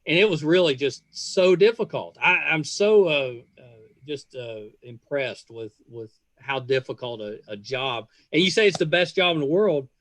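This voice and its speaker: A man, probably middle-aged, hosting a casual podcast interview, speaking haltingly and effusively with repeated words. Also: on the high side, animated, fairly bright tone, dry recording. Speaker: podcast host.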